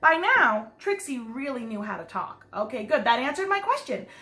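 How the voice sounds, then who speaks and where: High-voiced woman reading a picture book, roomy sound. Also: normal speed. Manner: expressive, simple explaining, asking children questions. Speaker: preschool teacher. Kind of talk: children's picture book read-aloud with chatty asides.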